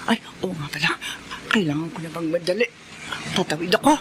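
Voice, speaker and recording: A woman's voice: on the low side, brisk, lightly acted. An actress in a radio drama playing a self-satisfied, amorous woman talking gleefully to herself. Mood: smug, gleeful. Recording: noisy background ambience.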